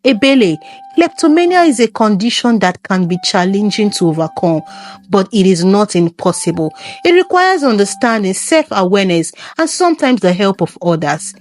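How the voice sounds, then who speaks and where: Female narrator as motherly village herbalist, kind, wise, counselling, folktale, lively intonation. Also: mid-range voice, normal speed, lightly acted. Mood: kind, advising. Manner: gentle, advising.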